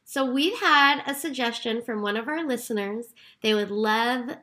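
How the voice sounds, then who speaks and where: A woman co-hosting a podcast in calm, explanatory casual chat. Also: fairly high pitch, moderate pace. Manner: calm, explanatory, recaps steps. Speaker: podcast co-host.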